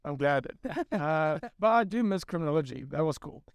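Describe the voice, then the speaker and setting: A man's voice: fairly high pitch, expressive, normal speed, smooth, no room sound. A man in his thirties from South Africa, a photographer, telling his story in a rambling, self-deprecating way. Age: 30s. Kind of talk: casual chat.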